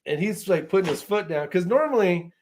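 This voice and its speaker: A man with a slightly high voice hosts an informal live chat. He rambles loosely and easily, in the casual style of someone chatting with viewers. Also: lively intonation, brisk, a little mellow, slight background noise. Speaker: host of a shooting live chat show.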